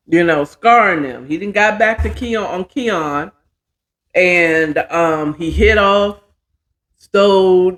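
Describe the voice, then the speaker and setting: A middle-aged American woman hosting a show, chatting casually to viewers in a folksy way, often half talking to herself. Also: on the low side. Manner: folksy, talks to herself while working. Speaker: host of a storytelling show.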